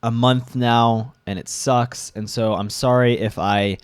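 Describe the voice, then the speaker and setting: Young male vlogger with a cold, stuffed up; agitated rant with lots of restarts, middle pitch, dry close sound. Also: steady tone, clear, resonant tone, dry recording. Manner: agitated, halting with frequent restarts and fillers. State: sick, a bit congested. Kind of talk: ranting commentary.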